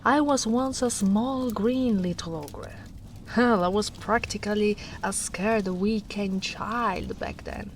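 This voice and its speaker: A woman voicing a gentle, protective female ogre in a soft-spoken roleplay, talking in a hushed, half-whispered way. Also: mid-range voice, slow pace, a little hiss or hum, natural voice. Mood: wistful.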